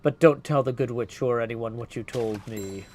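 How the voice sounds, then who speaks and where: A man's voice: medium pitch, moderate pace, darkish tone, a little hiss or hum, lightly acted. A man voicing a hearty, seasoned hero's shade in a video game, chatty and old-fashioned in his phrasing. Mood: friendly.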